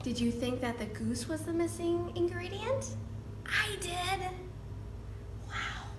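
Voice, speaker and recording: A woman hosting a children's storytime, reading aloud in an animated, exaggerated way and prompting young listeners with questions. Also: very high voice, takes it slowly. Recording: roomy echo with background noise.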